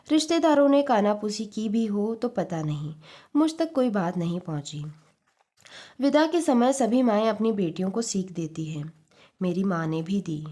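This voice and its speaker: A woman's voice: medium pitch, dark tone. A woman reading a short story aloud in a scripted audiobook narration style.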